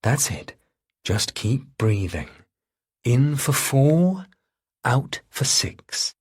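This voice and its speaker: A man's voice: mid-range voice, big pitch swings, extremely slow, husky, pitched above the performer's own voice, full character voice. A man voicing a friendly, reassuring female TV interviewer in an audiobook.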